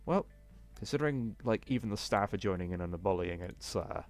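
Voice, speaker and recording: A man with a low voice narrates a visual novel over clearly audible music. He reads casually, trips over the odd phrase and drops in blunt commentary of his own. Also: expressive, a little fast. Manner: stumbling over read text, blunt indignant asides. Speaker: let's play host.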